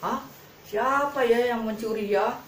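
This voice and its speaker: A woman's voice: on the high side, measured pace, voice pushed up, close to an everyday voice. A simple storybook farmer acted out by a woman speaks in a raised, sing-song, exclaiming voice, proud of his garden. The sound is roomy and slightly distant, with faint noise. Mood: shocked, indignant.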